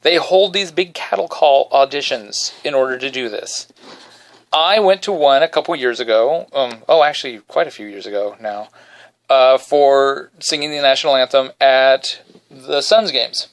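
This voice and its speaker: A man hosting a podcast from his bedroom, telling a self-deprecating story in a casual, animated way and singing short snippets quietly. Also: moderate pace. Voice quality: baritone. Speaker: podcast host.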